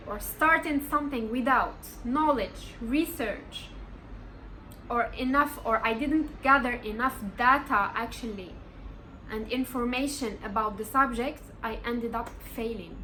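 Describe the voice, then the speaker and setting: A woman in her twenties talks through life lessons in a slow, deliberate vlog delivery. Her voice is bright and sits a little high. She sounds earnest and encouraging, and a faint room ambience hangs behind her. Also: a little hiss or hum, rough recording. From Morocco. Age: 20s. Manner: earnest, encouraging, emphatic repeats. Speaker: vlogger. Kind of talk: casual motivational vlog.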